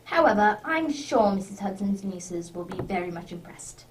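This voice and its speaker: A woman acts out an urbane English gentleman detective in a radio drama. The delivery is wry, teasing and precise, with lively swings in a mid-pitched voice. The microphone sounds a little distant. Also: normal speed, a little hiss or hum, natural voice. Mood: amused, teasing. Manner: teasing, precise, old-fashioned gentlemanly phrasing.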